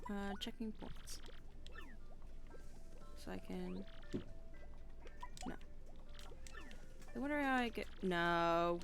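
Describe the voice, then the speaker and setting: A hoarse young woman streaming a game, muttering to herself and swearing casually into a close mic. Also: medium pitch, lively intonation, extremely slow, pauses often, music in the background. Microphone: new close mic.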